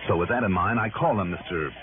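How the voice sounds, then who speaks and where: An American actor playing a tough, self-assured private investigator in 1960s radio drama, speaking in an emphatic, wry, persuasive way. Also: male voice, deep voice, fast talker, music in the background, natural voice. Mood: earnest, confiding. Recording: noisy, tinny old radio broadcast recording.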